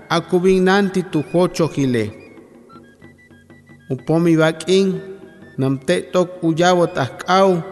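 A man in his forties from Tabasco, Mexico, whose first language is Yokot'an, recites poems in a slow, measured way. His voice sits a little high for a man and trails into a long reverb, with faint music underneath. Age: 40s. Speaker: poet. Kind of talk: poetry reading.

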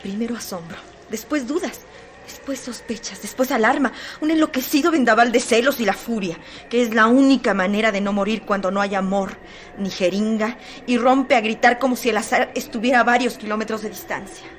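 A woman narrating a literary radio drama with dramatic, deliberate phrasing. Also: a little high, slight background noise, lightly acted.

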